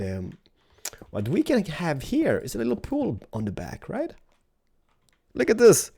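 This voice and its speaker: Casual male build host with a cold, lively swooping voice, mellow tone, playful self-mocking chatter. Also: mid-range voice, vocal fry. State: has a cold.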